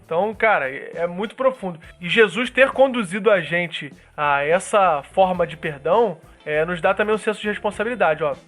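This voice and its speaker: Animated, slangy young-sounding man chatting. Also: high-pitched, fairly quick, few pauses, soft background music. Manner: animated, slangy, acts out quoted voices. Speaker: podcast co-host.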